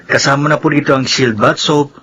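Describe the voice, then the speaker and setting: An actor playing a dutiful, respectful young grown son in a Filipino radio drama, with a Filipino accent. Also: male voice, fairly high pitch, fairly even intonation, fairly quick, pushed and bright, breathy edge. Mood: polite, reluctant. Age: young adult.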